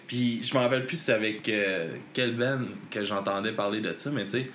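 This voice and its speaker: Québécois male podcast host, low register, rambling, laughs often. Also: normal speed, fairly bright tone, slight background noise. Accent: Québécois French. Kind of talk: casual interview chat.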